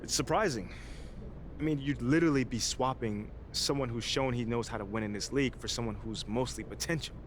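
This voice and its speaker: A man's voice: medium pitch, brisk, slight background noise, close to an everyday voice. A man playing an easygoing, earnest young pro basketball player in a video game, talking in casual, playful slang. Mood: measured, surprised. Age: young adult. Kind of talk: naturalistic video game cutscene acting.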